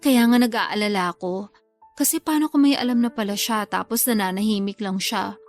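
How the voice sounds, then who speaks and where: A woman's voice: medium pitch, a little fast, soft background music, close to an everyday voice. A woman acting a teasing, guilty lover in a secret affair, with a Filipino accent, in a radio love drama. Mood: worried.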